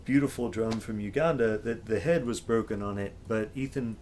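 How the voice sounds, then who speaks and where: A middle-aged man hosting a casual chat in his home basement studio, speaking in a relaxed, hesitant way with frequent ums. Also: on the low side, normal speed, slight background noise. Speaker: host musician. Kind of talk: casual video intro and chat.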